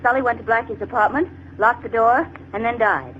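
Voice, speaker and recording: An actress playing an eager, quick-witted young sweetheart of a sleuth, with an American accent and inquisitive 1940s radio delivery. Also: female voice, on the high side, lightly acted. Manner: lively, inquisitive. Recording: muffled, hissy 1940s radio recording.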